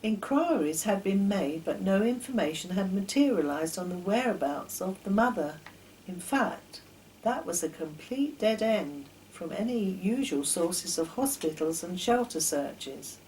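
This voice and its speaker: An older woman reading a children's story aloud in an expressive, deliberate storytelling manner. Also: medium pitch, a little hiss or hum, low-quality recording. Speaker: author reading her own children's stories. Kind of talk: storybook narration.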